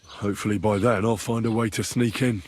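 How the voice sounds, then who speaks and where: A man's voice: fairly low pitch, steady tone, a little fast, darkish tone, close to an everyday voice. A man playing a down-on-his-luck young medieval squire in a video game, curious and dryly sardonic, with an informal, questioning manner. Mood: determined. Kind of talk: video game dialogue acting. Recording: background music under the dialogue.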